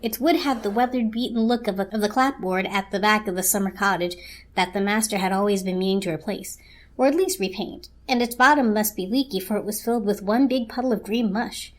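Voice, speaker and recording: A woman reading scripted story narration as a narrator, in a measured, literary storytelling manner. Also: mid-range voice.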